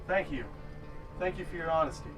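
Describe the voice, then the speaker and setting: An actor playing a cruel gang enforcer who delivers calm, taunting, deliberate threats in a dramatic film. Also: male voice, on the high side, close to an everyday voice. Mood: cool, dismissive. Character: menacing, coolly cruel male gang enforcer. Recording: distant mic under loud music.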